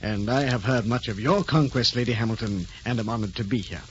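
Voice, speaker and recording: Blunt, reserved naval captain played by an actor. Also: male voice, mid-range voice, brisk, a little hiss or hum, close to an everyday voice. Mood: formal. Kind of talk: 1940s radio drama acting. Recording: dull old radio recording.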